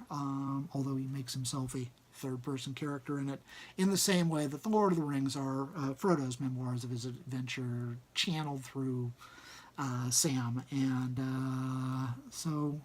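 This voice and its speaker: A man's voice: mid-range voice, fairly even intonation, measured pace. A man reading and hosting, moving between storybook narration and informal commentary. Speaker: reader and host.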